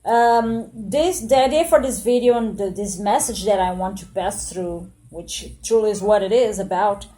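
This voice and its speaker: A woman in her late thirties, from Portugal, talks straight to the camera in a mellow, soft-edged voice at a middling pitch. She reflects earnestly, circling back on her words with frequent fillers and self-corrections. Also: moderate pace, hardly any pauses. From Portugal, born in Angola. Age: 30s. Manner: hesitant, many fillers and restarts, earnest and reflective. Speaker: vlogger. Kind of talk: spontaneous monologue to camera.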